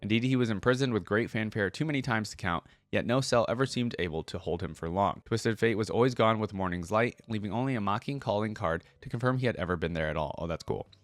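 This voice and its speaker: A man's voice: on the low side, fast talker, few pauses, dry recording. A man hosting a casual reaction video, moving between reading aloud and informal conversational commentary. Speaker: reaction channel host.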